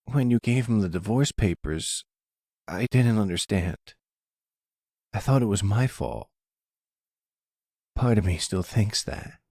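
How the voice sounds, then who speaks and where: An actor playing a sensitive, defensive college-age son in an audio roleplay, talking to his mother in a halting, boyish way with stammers. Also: lowish voice, a little mellow, no room sound, clean studio sound. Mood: sad, vulnerable. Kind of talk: ASMR audio roleplay acting.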